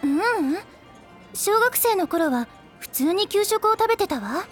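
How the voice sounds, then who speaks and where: A gentle teenage schoolgirl tells an old friend's story in a soft, earnest way. Her voice sits very high, and music plays clearly behind her in this anime drama CD. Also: animated, unhurried. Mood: worried.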